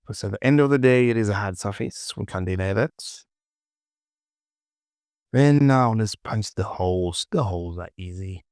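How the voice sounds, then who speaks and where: A man hosting a casual software tutorial, thinking aloud and muttering repetitively to himself as he works. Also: fairly low pitch, moderate pace, no echo, clean studio sound. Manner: muttering, repetitive self-talk. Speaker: 3D modeling tutorial host.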